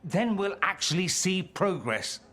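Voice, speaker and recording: A man's voice: high-pitched, sing-song, a little slow, fairly bright tone, full character voice. A man playing a brash, eloquent rebel mage in a fantasy game, theatrical, articulate and arch. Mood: sardonic, insistent. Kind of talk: dramatic fantasy game acting. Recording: music under the voice.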